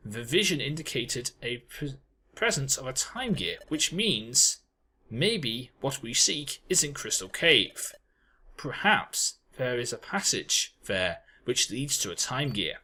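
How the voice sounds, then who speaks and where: A performer voicing a large, calm ghost detective from a game who speaks formally and reasons things out step by step. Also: medium pitch, a little hiss or hum, voice pushed down, heavily acted, low-quality recording. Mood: reasoning. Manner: slow, deliberate, reasoning aloud.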